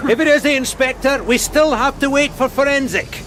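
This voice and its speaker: An actor playing a put-upon police sergeant in a radio crime drama, dryly sardonic and deferential with a tetchy edge. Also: male voice, extremely high pitch, brisk, crowd noise. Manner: deadpan, dry.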